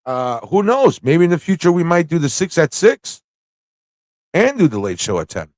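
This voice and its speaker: A man's voice: fairly high pitch, very animated, normal speed, darkish tone, no room sound, clean studio sound. A man hosting a livestream in a casual, rambling way, thinking aloud and repeating phrases as he goes. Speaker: livestream show host.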